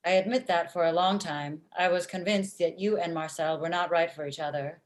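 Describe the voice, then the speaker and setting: A woman playing a blunt female matchmaking robot with formal, deadpan phrasing in a comedy table read over video call. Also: fairly low pitch, brisk, natural voice. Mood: measured, frank. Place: indoor room at home.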